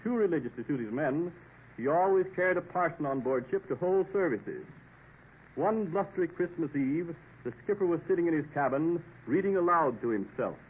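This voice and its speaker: A man's voice: on the high side, animated, moderate pace. An American man announcing and narrating a 1950s radio drama in a formal, dramatic storytelling manner. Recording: tinny, hissy 1950s radio recording.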